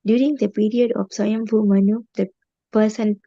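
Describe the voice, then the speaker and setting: Hesitant woman reading haltingly. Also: mid-range voice, flat delivery, dry recording. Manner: hesitant reading, stumbling over names. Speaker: student reader. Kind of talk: reading a translation aloud. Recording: dull, lo-fi sound.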